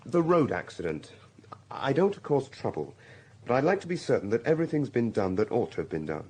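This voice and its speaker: A man's voice: medium pitch, big pitch swings, a little fast, darkish tone, husky. An actor playing a tired male hospital doctor in a radio drama, wry and teasing.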